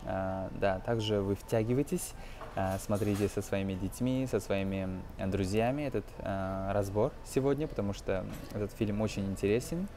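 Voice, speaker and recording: Low-voiced young man, mellow tutor. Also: soft background music. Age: 20s. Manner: hesitant, halting, switches between explaining and quoting phrases. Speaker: English teacher. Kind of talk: informal language lesson explaining film phrases.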